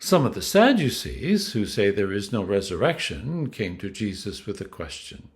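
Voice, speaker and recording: A man reading scripture as a clergyman, with a measured, dramatic storytelling delivery. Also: fairly low pitch, very animated.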